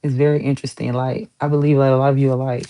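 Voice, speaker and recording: A woman's voice: a bass voice, flat delivery, very fast, mellow. A woman giving a casual tarot reading to her viewers, chatty and emphatic, swearing casually and repeating herself for emphasis. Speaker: tarot reader.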